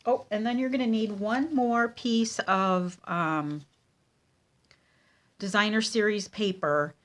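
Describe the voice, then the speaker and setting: A woman with a stuffy, nasal voice from a cold, teaching card-making at her craft table at home. She talks slowly in a relaxed, chatty way, with frequent ums and pauses. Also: mid-range voice. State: congested with a cold. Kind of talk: casual craft tutorial.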